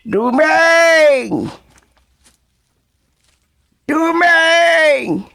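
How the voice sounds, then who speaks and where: An actor playing a bewildered man lost in a forest in a radio serial, with a Filipino accent and drawn-out, exclamatory speech. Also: very high voice, lively intonation, gravelly, sharp tone, dry recording, exaggerated voice. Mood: anxious, calling out.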